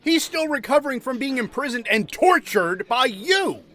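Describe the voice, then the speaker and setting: A man plays an earnest, protective character in an audio drama. His voice is high and extremely expressive, with wide pitch swings and an exasperated edge. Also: normal speed, heavily acted. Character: caring, protective young man defending his ally.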